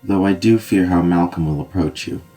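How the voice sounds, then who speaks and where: A man voicing a grieving nobleman with a low, steady voice. He sounds protective and solemn, with soft music underneath. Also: normal speed, close to an everyday voice. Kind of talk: audio drama acting.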